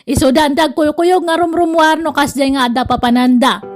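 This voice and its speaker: Female drama host, Ilocano accent, high register, storytelling narration over a light music bed. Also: steady tone, normal speed. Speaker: drama host reading listener's letter. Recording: faint music behind.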